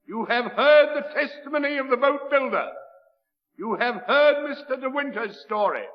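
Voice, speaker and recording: Solemn coroner, very high pitched, formal declamatory speech, vintage radio drama, long hall reverb. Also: male voice, lo-fi sound. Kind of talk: 1930s radio acting.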